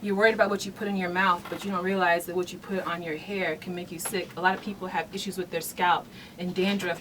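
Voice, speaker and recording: A woman speaking as an interview guest, explaining things in an earnest, emphatic way. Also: on the low side. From Nigerian background. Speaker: interview guest, hair care company founder. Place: radio studio. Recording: noisy room, distant mic.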